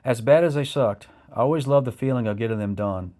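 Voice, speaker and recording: A man's voice: mid-range voice, a little fast, dark tone. A man reading a scripted first-person memoir of military training, in the style of an audiobook. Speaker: memoir author narrating his own military training.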